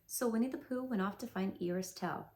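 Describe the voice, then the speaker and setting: A woman's voice: mid-range voice, normal speed, mellow. A woman reading a children's storybook aloud, adding chatty asides to her listeners. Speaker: storybook reader. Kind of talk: children's read-aloud narration.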